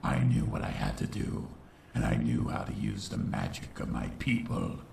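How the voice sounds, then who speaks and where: A man voicing a brooding, vengeful shadow pony villain in a comic dub, with a gravelly voice and a theatrical delivery. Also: very deep voice, huge pitch swings, normal speed, darkish tone, exaggerated voice. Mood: grim, dramatic. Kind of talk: dramatic comic dub narration and dialogue. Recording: roomy, distant, faintly noisy home recording.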